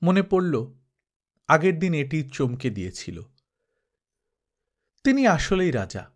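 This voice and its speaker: A man's voice: fairly high pitch, sing-song, slow. A man reading literary fiction as a scripted audiobook narration.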